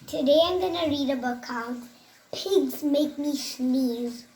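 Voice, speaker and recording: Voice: sits high, a little slow, darkish tone. A child hosting a picture book read-aloud for other children.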